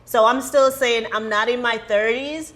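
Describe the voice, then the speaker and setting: A woman's voice: fairly high pitch, steady tone, measured pace, a bit bright. An American woman of about forty, chatting casually and playfully as a podcast co-host, acting out bits of conversation. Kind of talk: casual chat. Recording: clear background noise, room ambience.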